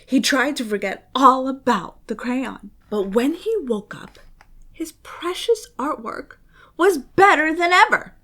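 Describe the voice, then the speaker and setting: A woman reading a spooky children's story aloud, expressive and suspenseful, with dramatic hushed moments. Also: sits high, a little slow. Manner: expressive, suspenseful, often dropping to a dramatic whisper. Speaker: children's book reader.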